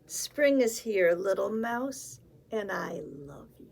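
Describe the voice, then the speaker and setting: A woman voicing a loving mother mouse who gently shows her child new things. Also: mid-range voice, lively intonation, slow, creaky, slight background noise, heavily acted.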